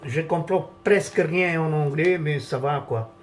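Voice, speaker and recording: A man whose first language is Italian walks through a camera monitor setup at an unhurried, halting pace. His voice sits a little high for a man. He stops and restarts often and searches for words as he goes. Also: slight background noise. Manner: hesitant, halting, many fillers and restarts. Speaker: vlogger reviewing camera gear. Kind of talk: casual product tutorial.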